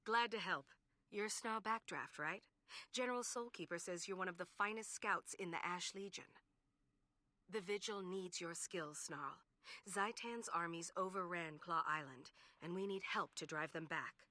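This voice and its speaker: Female military recruiter for a video game: direct, urgent, forceful tone, close dry sound. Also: fairly low pitch, a little slow, no echo. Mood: earnest, urgent. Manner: earnest, direct.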